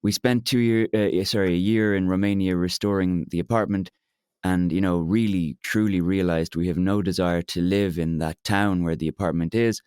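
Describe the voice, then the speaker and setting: A young man from Ireland with an Irish accent, a bit hoarse today, talking openly in a therapy podcast and pausing often with fillers and restarts. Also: deep voice, fairly even intonation, darkish tone, no echo, studio quality. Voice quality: hesitant, many fillers and restarts. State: slightly hoarse from shouting. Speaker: podcast co-host, client. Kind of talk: candid therapy conversation.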